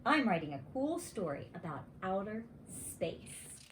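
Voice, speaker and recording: A woman's voice: medium pitch, very animated, unhurried, slight background noise, rough recording. A woman voicing an easygoing young boy in a children's storybook, casual and a little hesitant. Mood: lukewarm, casual. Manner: lukewarm, casual.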